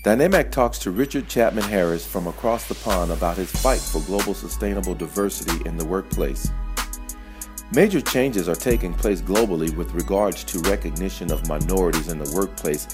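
A man reading a scripted podcast introduction in an even, read-aloud manner. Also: low voice, brisk. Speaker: podcast narrator. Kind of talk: scripted narration. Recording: voice over music bed. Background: music.

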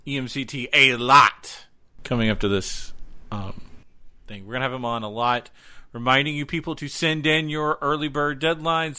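A man's voice: on the high side, sharp tone. A man co-hosting a podcast, making casual announcements with jokey, playful asides. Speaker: podcast co-host.

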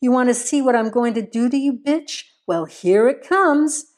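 A woman's voice: fairly high pitch, normal speed, lightly acted. A woman voicing a deranged, vengeful wounded husband in an audiobook, menacing and taunting.

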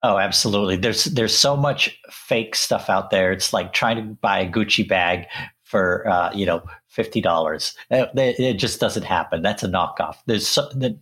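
A man with a very low, husky voice talks casually as a co-host. He often stops and repeats words before he goes on. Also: a bit bright. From born in Sri Lanka, raised in England. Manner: halting, with frequent restarts and repeated words. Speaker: podcast co-host. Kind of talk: casual conversational chat.

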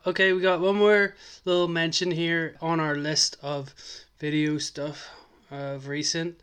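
A man's voice: a little high, fairly bright tone, smooth. A man hosting a podcast in casual chat, rambling and enthusiastic, with plenty of fillers. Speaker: podcast host.

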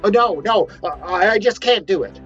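A man voicing a wild-eyed young male prophet, fervent and shrill, who stammers when cornered. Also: lively intonation, moderate pace, a bit bright, soft background music. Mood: flustered. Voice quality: shrill, screaming. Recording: dry, dull lo-fi recording.

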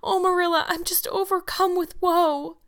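Earnest storybook girl, played by a woman narrator: high, clear, tearful, given to melodramatic wailing. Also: lively intonation, fairly quick, fairly bright tone. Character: dramatic, tearful, earnest young orphan girl.

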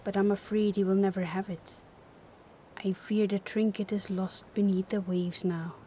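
A man voicing a solemn, gentle priestess, speaking softly and sadly. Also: high-pitched, level tone, moderate pace, slight background noise, voice pushed up, natural voice.

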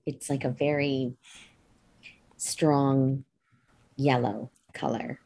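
A native Punjabi-speaking woman in casual, reflective conversation, often halting as she searches for the right words. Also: very deep voice, fairly even intonation, creaky, mellow, husky.

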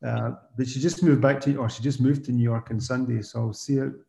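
A middle-aged man with a Scottish accent, chatting casually as a podcast guest, relaxed and rambling with frequent restarts. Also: mid-range voice, a little fast, creaky, reverberant. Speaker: guest, wine blogger. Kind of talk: casual conversation.

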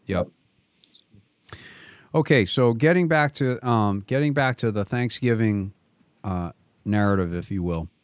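A man's voice: on the low side, a little slow, long pauses, no echo. A man with a Boston accent hosting a talk show, telling stories in a loose, rambling way with many restarts and asides. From metropolitan Boston. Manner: talkative, lots of restarts and trailing asides. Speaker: podcast host. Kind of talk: rambling conversational storytelling.

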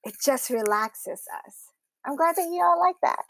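A woman's voice: sits high, animated, normal speed, vocal fry, fairly bright tone, no echo. A woman from the Philippines speaking as an interview guest, sharing personal stories in a conversational way. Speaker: interview guest, women's community founder. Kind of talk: personal storytelling interview answers.

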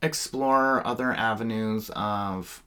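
A young adult man co-hosting a podcast, talking loudly in joking, camp banter. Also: lowish voice, takes it slowly, clear, resonant tone. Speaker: podcast co-host. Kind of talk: casual chat.

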